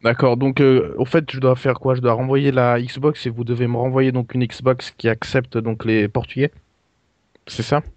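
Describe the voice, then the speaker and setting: A man making a prank call to customer support as a customer, speaking in a halting, hesitant way with puzzled offence. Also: medium pitch, level tone, a little mellow, no room sound. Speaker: prank caller posing as a customer. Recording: dull lo-fi call recording, dry.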